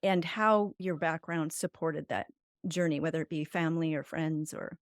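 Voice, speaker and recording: A woman with a slightly low voice hosts a podcast interview. She wanders through long, roundabout questions in a casual, chatty way, recorded dry and close. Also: fairly even intonation, moderate pace, dry recording. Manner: circuitous, rambling questions. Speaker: podcast host.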